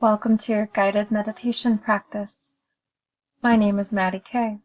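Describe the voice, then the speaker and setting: A woman leading a guided meditation in a calm, soothing, measured manner with gentle pauses. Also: medium pitch, poor audio.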